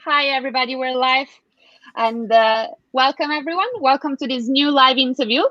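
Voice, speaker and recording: Higher-pitched woman hosting, dull dry sound. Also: fairly even intonation, normal speed, pushed and bright, dry recording. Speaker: host, interviewer. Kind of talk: live online interview, scripted introduction and questions.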